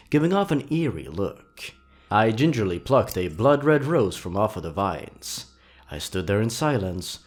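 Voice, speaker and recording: A man narrating scripted creepy stories in a dramatic, suspenseful, theatrical way with drawn-out pauses. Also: on the low side, darkish tone. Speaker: horror story narrator and channel host.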